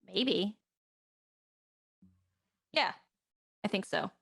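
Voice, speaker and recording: Blunt tough young woman, wry, audio drama, very animated pitch. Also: very slow, gravelly, husky, full character voice. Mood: quiet, matter-of-fact. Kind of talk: naturalistic audio drama acting.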